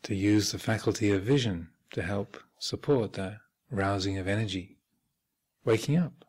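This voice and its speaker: Low-voiced man guiding a meditation. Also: husky. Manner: measured, hesitant pauses, gentle instructional phrasing. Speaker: Buddhist monk and meditation teacher leading a retreat. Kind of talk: guided meditation instruction. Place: meditation hall at a retreat center.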